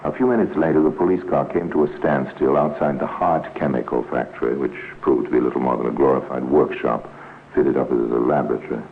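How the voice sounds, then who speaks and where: A man narrating a 1950s radio crime drama in a measured, solemn, dramatic way, as a grave storyteller. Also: a bass voice, steady tone, creaky. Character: grave male storyteller of a police crime tale. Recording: tinny, noisy 1950s radio recording.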